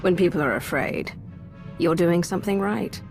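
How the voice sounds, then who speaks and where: A woman's voice: lowish voice, expressive, moderate pace, vocal fry, faint music behind. A woman playing an arrogant Sith sorceress in a video game, proud and threatening in her delivery. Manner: haughty, threatening. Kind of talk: scripted video game dialogue.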